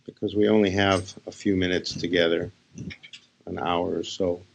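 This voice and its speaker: A man with a very low voice and a gravelly creak leads a guided meditation. He talks as a spiritual teacher, laying out short phrases without hurry and pausing between them. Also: level tone, rough recording. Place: live session.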